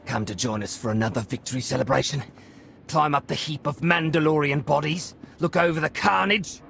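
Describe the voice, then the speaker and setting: A man playing a bitter, gruff, mocking warrior in a video game. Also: a little high, fairly quick, fairly bright tone, a little hiss or hum. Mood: sardonic. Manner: gruff, sardonic. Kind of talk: scripted video game acting.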